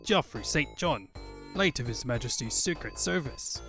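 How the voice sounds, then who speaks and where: A man's voice: medium pitch, lively intonation, moderate pace, a bit bright, faint music behind. A man voicing a cocky, flirty secret agent and rebel leader, with a put-on Australian accent and suave, slangy speech. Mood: smug, charming. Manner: suave, slangy, formal flourishes.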